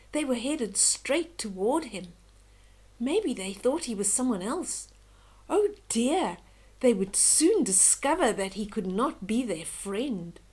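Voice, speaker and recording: Older lady reading a kids story out loud, real slow n gentle, voice a bit high. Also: breathy edge. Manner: slow, gentle, dramatic, with hushed whispery moments. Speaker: storyteller reading children's books. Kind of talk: expressive bedtime story read-aloud.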